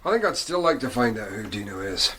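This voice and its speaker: A man playing a detective chief inspector in a radio drama, speaking calmly and with authority. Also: on the low side, background noise. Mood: grave. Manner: calm, deliberate, authoritative. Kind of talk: 1990s radio drama acting.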